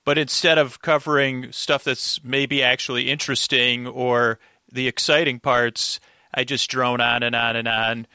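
Guy podcast guest, bright forward voice, rambly and self-deprecating, really dry sound. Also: medium pitch, little pitch movement, a little slow, clean tone, dry recording. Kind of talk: casual chat.

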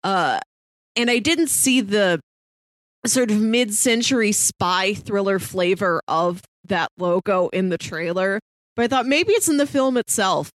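A woman's voice: mid-range voice, measured pace, dry recording. A woman co-hosting a casual review podcast in a wry, playfully wordy way. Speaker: podcast co-host.

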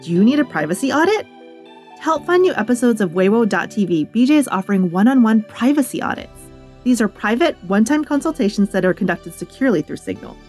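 A woman's voice: on the high side, sing-song, fast talker, a little mellow, faint music behind. A woman host reading sponsor and outro copy in an upbeat, friendly scripted way. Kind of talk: scripted ad read.

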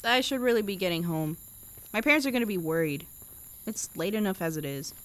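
A woman's voice: mid-range voice, lively intonation, fairly quick, fairly bright tone, close to an everyday voice. A young woman playing an anxious, awkward seventeen-year-old girl in an audio drama, speaking in a hesitant, flustered way. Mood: uneasy, cautious.

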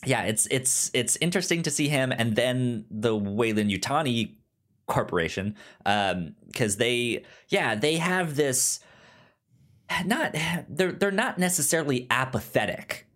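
A man in casual film discussion, stammering on syllables and often halting to rephrase as he goes. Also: mid-range voice, lively intonation, fairly bright tone. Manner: halting, rambling, circles back to rephrase. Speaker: film podcast host.

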